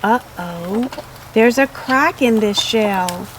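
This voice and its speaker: A woman voicing an excitable, fretful farm woman in a children's storybook, exclaiming dramatically. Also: medium pitch, slow pace, slight background noise, close to an everyday voice. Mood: alarmed, dismayed. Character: flustered, excitable farm woman, kindly toward her hen.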